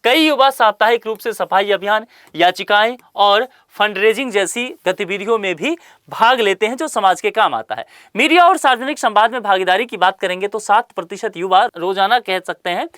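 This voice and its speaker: A woman's voice: mid-range voice, expressive, normal speed, a bit bright, dry recording, studio quality. A woman explaining a survey report in a current affairs lesson, speaking to viewers with rhetorical questions and everyday examples.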